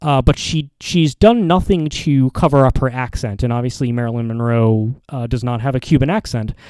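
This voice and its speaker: Mellow, halting man talking off the cuff. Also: medium pitch, smooth, no room sound, clean studio sound. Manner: halting, rambling delivery with frequent repeats and self-corrections. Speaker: podcast host. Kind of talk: casual opinion commentary monologue.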